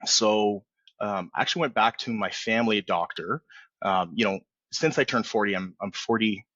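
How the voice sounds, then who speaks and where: Low-voiced man in his forties. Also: moderate pace, no room sound, dull audio. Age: 40s. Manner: relaxed, rambling, many fillers and restarts. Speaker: guest. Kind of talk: candid storytelling in a podcast interview.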